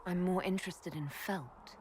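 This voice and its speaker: A woman's voice: fairly low pitch, unhurried, darkish tone, in a large hall, lightly acted. An actress voicing a direct, dry-humored elven woman leader in a game. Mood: teasing. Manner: dry, teasing. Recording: game audio with faint background noise.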